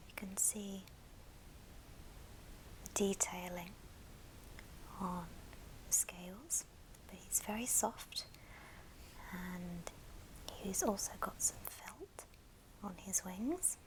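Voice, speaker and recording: A woman whispering softly and breathily in a gentle, affectionate ASMR show and tell. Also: medium pitch, slow pace, pauses often, noisy background. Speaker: ASMR video host.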